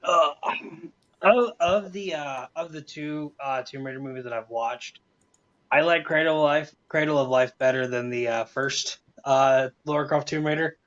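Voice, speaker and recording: A man on a panel casually giving opinions in a hesitant way, often repeating words. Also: a little high. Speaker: panelist on a movie show. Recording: dull, lo-fi audio.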